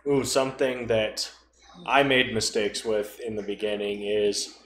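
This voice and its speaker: A man's voice: on the low side, fairly even intonation, unhurried, fairly bright tone, reverberant, a little hiss or hum. A man in casual podcast conversation about tabletop role-playing, with a dryly joking, conversational way of talking. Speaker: podcast speaker.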